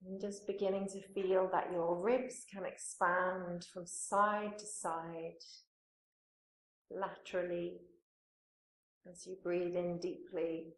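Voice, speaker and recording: A woman yoga teacher leading a guided meditation in a calm, soothing manner, with pauses between phrases. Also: fairly low pitch. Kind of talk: guided meditation, gentle spoken instruction. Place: indoor room, live training course class. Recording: roomy echo, live class recording.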